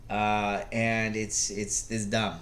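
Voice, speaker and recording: A man's voice: fairly low pitch, completely flat delivery, slow, a bit bright, slight background noise. A man giving casual, unscripted opinions like a video blogger, blunt and rambling, often hesitating as he searches for words.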